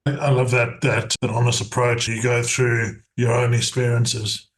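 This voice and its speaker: A man hosts the interview in a middle-pitched voice. He answers his guest with enthusiastic, encouraging remarks, sometimes repeating himself as he builds a thought. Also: level tone, moderate pace. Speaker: interview host. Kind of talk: podcast interview.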